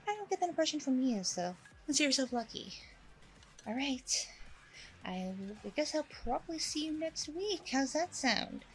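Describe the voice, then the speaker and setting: A woman playing a chatty, self-assured dating coach in an ASMR roleplay, rambling and reassuring with a creaky voice. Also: mid-range voice, rough recording. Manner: rambling, hesitant asides, reassuring. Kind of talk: ASMR roleplay, one-sided conversation with the listener.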